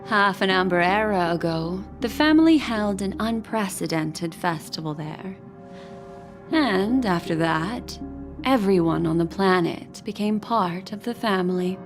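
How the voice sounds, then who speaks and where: Composed wise game lady, very slow careful delivery, music behind her. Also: medium pitch, expressive. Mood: serious, explanatory. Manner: measured, deliberate, articulate. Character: composed, knowledgeable woman explaining grave galactic conspiracies. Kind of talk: video game voice acting. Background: game soundtrack music.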